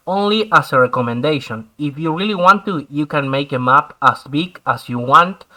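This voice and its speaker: A man's voice: a little high, bright, forceful tone, poor audio. A young man whose first language is Spanish, patiently explaining a game map editor step by step in English. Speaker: tutorial host. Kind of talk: screen-recorded game tutorial.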